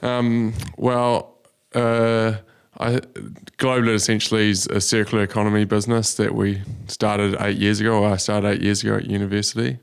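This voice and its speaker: A young man, a business founder telling his story in a relaxed way, with many ums. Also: on the low side, normal speed, creaky, hardly any pauses, clean tone. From New Zealand. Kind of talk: casual interview. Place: studio.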